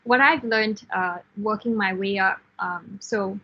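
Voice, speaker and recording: A woman in her thirties from Singapore, a software team lead giving frank, encouraging career advice in an online class, with fillers and restarts. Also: mid-range voice, a little slow, lo-fi sound, a little hiss or hum. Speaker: guest speaker, software engineer team lead.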